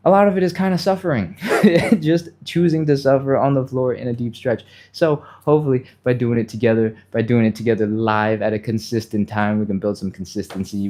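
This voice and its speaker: A man's voice: medium pitch, warm tone. A man with a slightly nasal voice and a mild cold, casually coaching a live stretching session step by step. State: mild cold, a little sniffly. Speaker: fitness instructor, livestream host.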